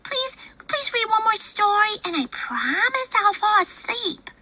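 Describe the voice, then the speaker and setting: A squeaky excitable little chicken girl. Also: unhurried, background noise, full character voice. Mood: pleading. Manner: high childlike voice, pleading and bursting in. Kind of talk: read-aloud of a picture book.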